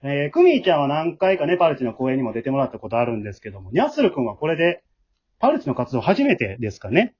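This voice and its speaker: A man with a Kansai accent hosts a show in a casual, polite way. His voice is high for a man and very animated, with quick pacing, on a lo-fi remote recording. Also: breathy edge. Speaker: host and leader of amateur drama troupe. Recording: dull lo-fi remote home recording.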